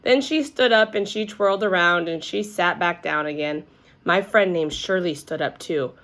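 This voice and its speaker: A woman storyteller narrating a children's book aloud in an expressive read-aloud style. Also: lowish voice, moderate pace.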